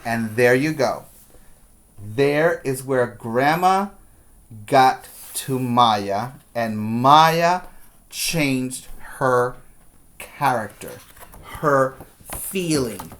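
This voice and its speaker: Preschool teacher doing storytime, talks real slow and simple, repeats stuff so little kids get it. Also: medium pitch, expressive, fairly bright tone. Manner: deliberate, simple phrasing with repetition for emphasis. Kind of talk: storybook read-aloud with explanations for young children.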